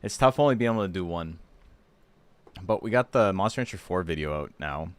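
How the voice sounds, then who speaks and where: Hoarse deep-voiced man streaming. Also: fairly quick, dry recording. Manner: rambling, relaxed, full of fillers. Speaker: streamer and tournament host. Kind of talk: casual livestream chat.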